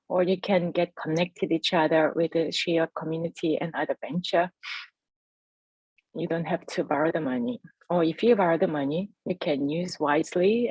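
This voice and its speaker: A woman whose first language is Korean, speaking heartfelt and halting on a video call about her business. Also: lowish voice, a little mellow, slightly rough, no echo, low-quality recording. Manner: heartfelt, halting, earnest encouragement. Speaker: entrepreneur sharing her story. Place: home in Adelaide.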